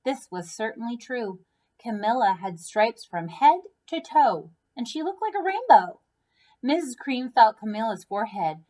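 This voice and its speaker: A woman reading a picture book aloud for children in an expressive storytelling style. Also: fairly high pitch, a little slow.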